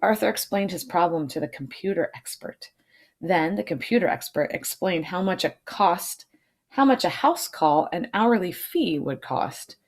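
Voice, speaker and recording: Animated woman reading to children. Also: lowish voice, moderate pace. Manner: checks in with listeners using questions. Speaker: read-aloud storyteller. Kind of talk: children's storybook reading with informal asides.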